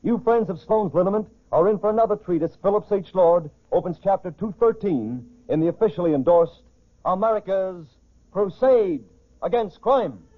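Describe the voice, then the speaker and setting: A man's voice: high-pitched, lively intonation, moderate pace. A man announcing a crime show in a dramatic, emphatic 1940s radio style. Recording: muffled old 1940s radio broadcast.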